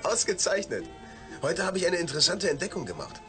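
A man's voice: sits high, very animated, a little fast, over music. An actor playing a cheerful, tipsy male astronaut chatting in a radio play. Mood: cheerful, chatty.

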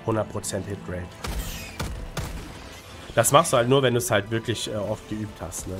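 A man's voice: lowish voice, normal speed. Slightly congested man doing casual gameplay commentary. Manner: colloquial, peppered with English gaming slang and tag questions. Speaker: gaming guide creator and streamer. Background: music under the commentary.

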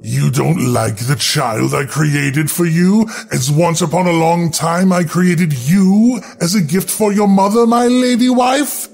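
A man's voice: fairly high pitch, slow, creaky, hardly any pauses, darkish tone, pitched above the performer's own voice, full character voice. A man voicing a bitter, resentful old ghoul father with a formal, barking manner in a horror story.

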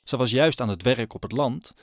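A man narrating a scripted audio drama as a storyteller, solemn and deliberate. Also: mid-range voice, no echo, clean studio sound.

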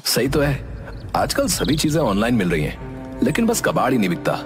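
A man's voice: medium pitch, wildly swooping pitch, fairly quick. A man playing an eager young village man with business dreams in a cartoon story. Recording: music behind the voice.

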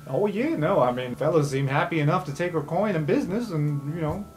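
A man's voice: on the high side, expressive, fairly quick, close to an everyday voice. A man voicing a streetwise, chatty crook in a tabletop game, with a blunt, informal, rambling way of talking. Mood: chatty, sincere. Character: streetwise, chatty male crook, loyal to his friends. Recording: music bed under the voice.

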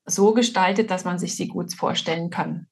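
A woman in her forties with a husky voice, talking casually as a guest on a video-call interview. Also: fairly low pitch, steady tone, normal speed. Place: indoor room, video call.

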